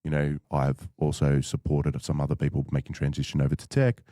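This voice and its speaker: A man as a podcast guest, telling his story in a measured, thoughtful way with frequent fillers. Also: a bass voice, completely flat delivery, mellow, no echo, studio quality. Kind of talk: reflective conversational storytelling.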